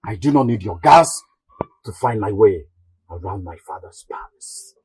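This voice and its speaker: An actor with a Nigerian accent playing a proud young prince, defiant and emphatic, in a royal drama. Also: male voice, lowish voice, animated, normal speed, darkish tone, full character voice. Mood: proud, scornful. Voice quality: very husky, raspy. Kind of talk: Nollywood royal drama acting.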